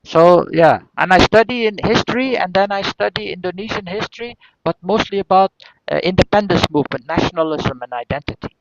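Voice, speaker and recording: A man's voice: high-pitched, fairly quick, creaky. A man from northern Belgium with a Flemish accent and native Flemish Dutch, answering seminar questions as an academic, hesitantly, repeating words and posing rhetorical questions. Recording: dull lo-fi audio.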